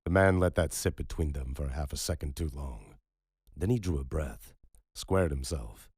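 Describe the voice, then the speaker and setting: Very deep husky male narrator. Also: a little mellow, no room sound, studio quality. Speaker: audiobook narrator. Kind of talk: scripted dramatic fiction narration.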